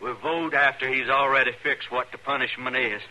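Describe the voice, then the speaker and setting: Sharp-voiced, callous frontier farm boy. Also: mid-range voice, fairly even intonation, a little fast. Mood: smug, surly. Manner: folksy, matter-of-fact, surly. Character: callous, obedient frontier son of a preacher. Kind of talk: old-time radio western acting. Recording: noisy old radio recording.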